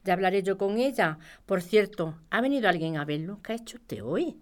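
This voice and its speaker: A woman's voice: medium pitch, animated, fairly quick, close to an everyday voice. An actress with a Spain accent playing a caring but exasperated working woman, speaking colloquially and expressively. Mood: concerned, placating. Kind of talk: audio fiction podcast acting.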